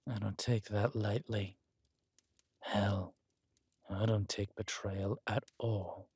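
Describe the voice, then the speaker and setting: A man playing a regal, wry, menacing villain king in a roleplay audio drama, speaking in a measured, intimate, smugly theatrical way. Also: fairly low pitch, flat delivery, a little mellow, husky, dry recording, clean studio sound, exaggerated voice. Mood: cold, menacing.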